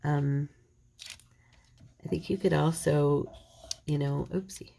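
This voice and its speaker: A woman with a slight cold talks her way through a paper-folding project, chatty and quick to poke fun at her own mistakes. Her voice sits low with a gravelly edge, and a faint hiss runs behind her. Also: steady tone, dark tone. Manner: chatty, self-deprecating, talks while working. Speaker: paper crafter. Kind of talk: casual crafting tutorial.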